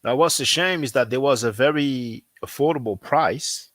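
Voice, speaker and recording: A man at a middle pitch speaks English as a second language and talks to his viewers in a loose, chatty way. He circles back on his phrases and leans on fillers. The sound is dry and close, with almost no room around him. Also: normal speed, a bit bright, no room sound. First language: not English. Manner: repetitive, conversational, frequent fillers. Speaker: language teacher. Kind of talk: casual promotional talk.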